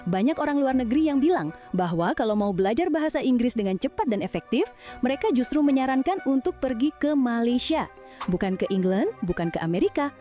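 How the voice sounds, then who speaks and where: Lively high woman narrator. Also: quick pace, soft background music. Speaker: channel narrator. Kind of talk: scripted narration.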